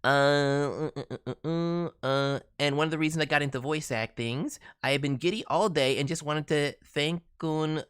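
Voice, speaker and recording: A man's voice: a little high, normal speed, few pauses, a bit bright, clear, resonant tone, close to an everyday voice. A man voicing a boastful, silly cartoon raccoon who hosts a livestream in a playful, showy, rambling way with cutely mangled words. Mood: boastful, chatty. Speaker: host reviewing voice demo reels on a livestream. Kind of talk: livestream chat in a cartoon character voice.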